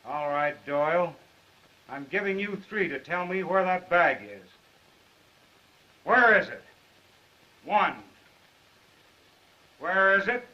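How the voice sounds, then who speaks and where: An actor playing a cool, threatening gangster in a 1930s crime film, on a muffled old soundtrack. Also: male voice, sits high, animated, takes it slowly, pauses often. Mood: menacing. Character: menacing male gangster with a gun, cool and threatening.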